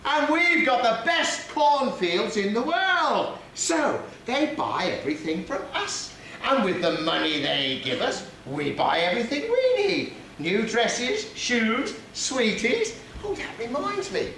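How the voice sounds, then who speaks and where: Man as a shrieky high-pitched pantomime dame, echoey theatre. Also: very animated, takes it slowly, few pauses, a bit bright, heavily acted. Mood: chatty, joking. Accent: English. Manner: teasing, exclamatory, playing to the audience. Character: brash, cheeky pantomime dame, a gossipy female palace housekeeper. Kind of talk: British pantomime stage comedy. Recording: echoey theatre hall, noisy audience.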